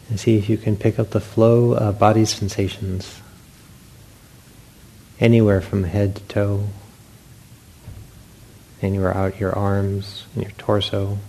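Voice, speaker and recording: Low, mellow man guiding meditation. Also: level tone, vocal fry, rough recording. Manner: unhurried, gentle guidance with long pauses. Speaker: meditation teacher. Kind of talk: guided meditation instruction to a group. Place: meditation hall with a group. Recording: faint background noise.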